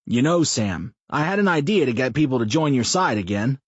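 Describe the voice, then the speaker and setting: A man voicing a crude, loud, foul-mouthed man who jokes and mocks in an audiobook. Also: mid-range voice, expressive, brisk, breathy edge, no echo, dull audio, pitched above the performer's own voice. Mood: brash. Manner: brash, swearing, mocking.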